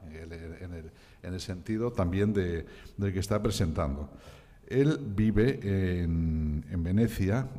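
A man's voice: sits low, warm tone, long reverb tail. An older man introducing a guest speaker at a panel table, telling a chatty, self-deprecating anecdote. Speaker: event presenter. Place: conference hall at a panel table. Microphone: table microphone.

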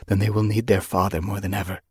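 A man's voice: lowish voice, level tone, darkish tone, slightly rough, dry recording, studio quality, voice pushed up. Man voicing a stern old female medicine cat. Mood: brisk, insistent. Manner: measured, admonishing.

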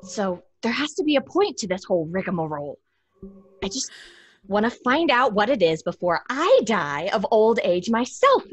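A woman's voice: medium pitch, big pitch swings, normal speed, slight background noise, close to an everyday voice. A young woman playing a greedy, impatient sister in a horror audio drama, sarcastic and exasperated. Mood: bossy, impatient. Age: young adult. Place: home room, video call.

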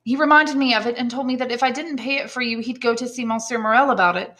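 A woman voicing a frail, gentle but proud old father in an audiobook, meek and reassuring. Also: a little high, fast, voice pushed up. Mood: reluctant, confessing. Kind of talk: audiobook character dialogue.

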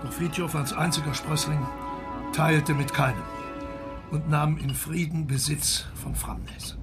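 An actor playing a pompous, rapturous emperor in a radio drama, declaiming in a grandiose manner. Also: male voice, a little high, fairly even intonation, measured pace, music in the background, natural voice. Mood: solemn rapture. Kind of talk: radio drama acting, verse recitation.

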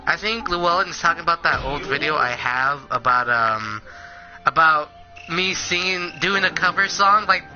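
A man's voice: on the high side, expressive, normal speed. A young man streaming a fighting game, chatting casually and crudely, swearing and joking with a friend. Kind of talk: casual gaming chat. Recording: lo-fi with game music.

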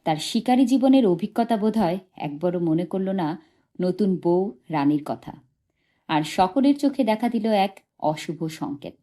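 A woman's voice: mid-range voice, expressive, a little slow, mellow. A woman narrating a short story as an audiobook reader, expressively and from a script.

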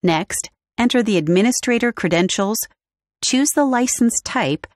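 A woman narrating a scripted step-by-step software walkthrough in a clear, even instructional manner. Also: fairly high pitch, a little slow, no room sound, clean studio sound. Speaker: tutorial narrator. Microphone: studio voice-over.

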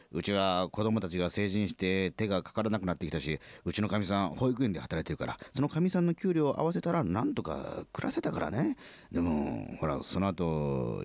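An actor playing a flustered, good-natured middle-aged company president in a comic radio drama, stammering and hesitant. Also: male voice, low-pitched, a little mellow, no room sound.

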